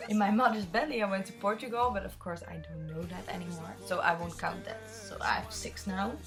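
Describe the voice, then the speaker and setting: A woman's voice: medium pitch, fairly even intonation, fairly quick, over music, crowd noise. A woman in her twenties with a Dutch accent, chatting openly as she answers viewer questions in a vlog. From Netherlands. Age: 20s. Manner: chatty, open, rambling storyteller. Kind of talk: casual chat.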